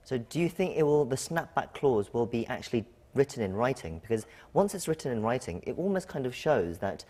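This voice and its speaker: A man's voice: medium pitch, very animated, fairly quick, warm tone. A man working as a news anchor, putting interview questions to a guest in a TV studio.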